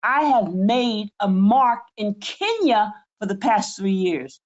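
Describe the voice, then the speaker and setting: A woman's voice: medium pitch, big pitch swings, takes it slowly, a little mellow, highs cut off. A woman in her seventies, a podcast co-host, speaking with fiery, emphatic conviction in a preaching cadence. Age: 70s. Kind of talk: impassioned spiritual podcast talk.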